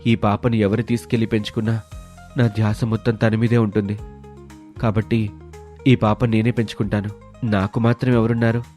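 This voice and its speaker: A man acts out a kind-hearted village fruit seller for a moral story. The voice is fairly low, measured and earnest, protective in tone, with music underneath. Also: little pitch movement, a little mellow, natural voice. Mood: thoughtful, sincere. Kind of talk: dramatised moral story acting.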